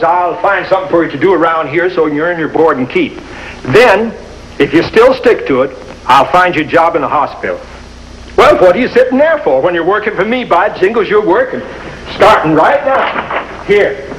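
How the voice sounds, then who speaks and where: Gruff kind old-timey american town doc, brusque, very up n down voice, bit noisy 50s tv sound. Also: male voice, high voice, lively intonation, normal speed, a bit bright. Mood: gruffly kind. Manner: brusque, folksy, avuncular. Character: gruff, kindly small-town doctor and mentor. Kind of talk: 1950s American television drama acting.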